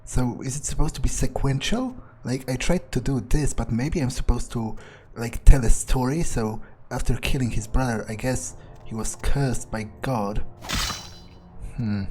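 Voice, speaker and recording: A man's voice: medium pitch, a little slow, a little mellow, a little hiss or hum. A man narrating a game playthrough in character, thinking aloud in a musing, casually profane way. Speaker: gamer playing in character.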